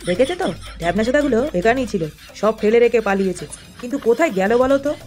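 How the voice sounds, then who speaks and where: A man's voice: very high voice, lively intonation, rapid-fire. A man voicing a hot-tempered, scolding, foul-mouthed village father in a comic cartoon. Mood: indignant. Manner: scolding, blustering. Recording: music clearly behind the voice.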